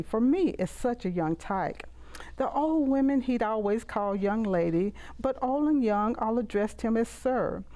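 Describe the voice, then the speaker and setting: A woman's voice: medium pitch, dark tone, low-quality recording. A middle-aged woman with a Southern US accent, reciting and introducing her own poetry. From Mississippi, United States. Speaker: poet and author reading own poems.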